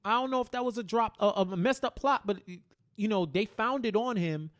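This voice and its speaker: A man's voice: sits high, moderate pace, a bit bright. A man co-hosting a casual TV recap, retelling scenes in quoted dialogue and repeating phrases for emphasis. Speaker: podcast host.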